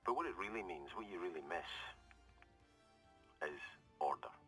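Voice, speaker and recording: Very deep-voiced Scottish ex-soldier. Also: male voice, lively intonation, normal speed, sharp tone, soft background music, heavily acted. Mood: grim, self-justifying. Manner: conversational, hesitant, darkly wry, trailing off. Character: hard-bitten ex-military mercenary survivor, wry and unrepentant. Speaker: security corporal. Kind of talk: in-game audio log, confessional monologue.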